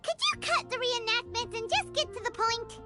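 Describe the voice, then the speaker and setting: A woman voicing a tiny, cheeky, excitable fairy companion in a video game, squeaky and childlike, talking about herself in the third person. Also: normal speed. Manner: refers to self by name, whiny, dramatic. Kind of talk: video game cutscene acting. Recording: loud game music behind.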